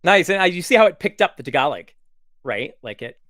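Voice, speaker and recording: A man's voice: fairly high pitch, big pitch swings, brisk, a bit bright. A man hosting a casual livestream demo over a video call, chatty and full of fillers and restarts. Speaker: host demoing software.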